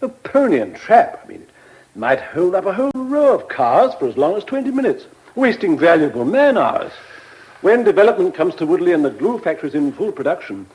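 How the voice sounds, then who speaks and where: An actor in a British radio drama playing a smug, officious planning bureaucrat. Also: male voice, sits high, sing-song, fairly quick, a little mellow, low-quality recording. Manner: officious, self-satisfied.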